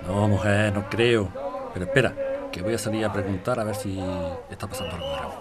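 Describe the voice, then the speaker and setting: Quick-talking, lowish Spanish husband played by a man. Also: fairly even intonation, crowd noise, lightly acted. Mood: calm, businesslike. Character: dutiful, placating husband with a flirtatious streak. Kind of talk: Spanish audio drama acting.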